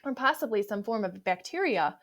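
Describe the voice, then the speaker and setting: A solemn male expert in a children's storybook, voiced by a woman reader. He speaks in a measured way, full of authority. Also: mid-range voice, lively intonation, fairly bright tone. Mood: authoritative.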